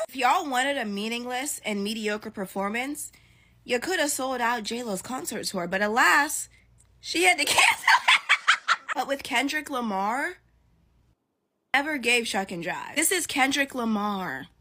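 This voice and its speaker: A woman's voice: high voice, expressive, unhurried, pushed and bright. A woman delivering sarcastic, mocking commentary in a reaction video. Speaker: online commentator.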